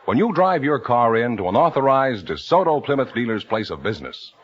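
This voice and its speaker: An American male announcer delivers a polished 1950s radio pitch with very lively intonation. His voice is bright and a little rough-edged, on a dull vintage recording. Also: a little fast. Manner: polished, salesmanlike. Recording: dull 1950 radio recording, faint audience.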